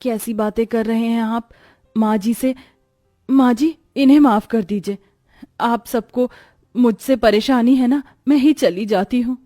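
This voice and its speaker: A woman's voice: on the high side, steady tone, unhurried, warm tone, no echo, studio quality, close to an everyday voice. A woman voicing a naive, earnest young village bride in a dramatized moral story. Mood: pleading.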